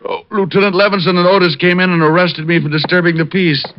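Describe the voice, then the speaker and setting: A man's voice: sits high, steady tone, fast talker, close to an everyday voice. An actor playing a wry, joking male private detective who also croons a song in a 1950s radio drama. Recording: dull lo-fi 1950s radio transcription, piano.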